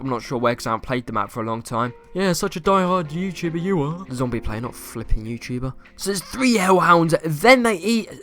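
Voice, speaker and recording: A young man YouTuber with a slightly croaky voice, rambling through casual gameplay commentary and asking viewers questions. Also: on the high side, fairly bright tone. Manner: rambling, self-correcting, asks viewers questions.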